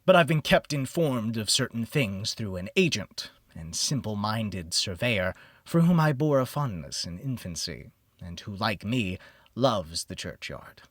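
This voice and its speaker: A man reads gothic horror in a theatrical, solemn manner. His delivery is slow and deliberate, with very animated pitch. The recording is close and dry. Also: no room sound. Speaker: audiobook narrator. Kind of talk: dramatic scripted narration of a gothic horror story.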